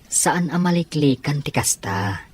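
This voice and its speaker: A woman playing a frank, protective male friend in an audio drama, with a husky voice. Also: deep voice, animated, a little slow, full character voice. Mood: reassuring. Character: frank adult man, a protective friend giving advice.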